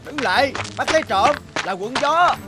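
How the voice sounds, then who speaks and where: A man voicing an alarmed townsperson chasing a thief in an animated folk tale. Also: very high-pitched, moderate pace. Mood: alarmed, shouting. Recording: loud music over the voice.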